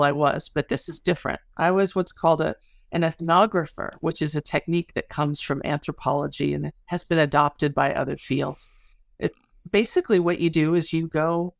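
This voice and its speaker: A woman's voice: sits low, creaky, no echo, studio quality. A middle-aged American woman explaining her research as an interview guest in an informal, articulate, storytelling way. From Chicago area, United States.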